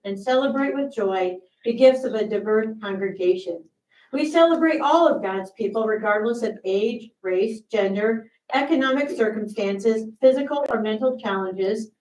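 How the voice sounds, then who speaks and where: A woman pastor speaks in a slightly raised voice, relaxed and chatty with small fillers. She is heard from a distance in a roomy church sanctuary, her voice echoing off the walls. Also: a little high. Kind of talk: church announcements and worship opening.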